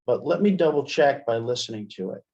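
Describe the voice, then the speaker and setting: A man giving a casual software demonstration as an instructor, conversational and thinking aloud as he goes. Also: medium pitch, expressive, a little fast. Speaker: instructor, webinar presenter. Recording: dull, band-limited webinar audio.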